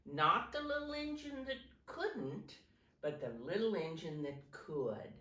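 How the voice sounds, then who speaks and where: A woman reading a picture book aloud to young children like a teacher, in simple deliberate phrases, pausing to point things out. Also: on the low side, expressive, bright, forceful tone, a little hiss or hum. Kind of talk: animated children's storybook read-aloud. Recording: roomy echo, distant microphone.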